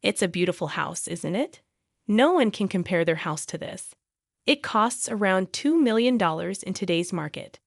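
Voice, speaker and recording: A woman's voice: mid-range voice, animated, moderate pace, gravelly, no room sound, studio quality. A woman voicing a wronged, defiant young wife in a narrated story, challenging with questions. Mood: proud.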